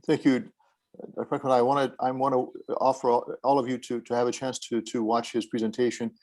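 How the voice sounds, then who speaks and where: A man's voice: mid-range voice, level tone, fairly quick, a little mellow. A man moderating a video call panel, often repeating words as he introduces speakers.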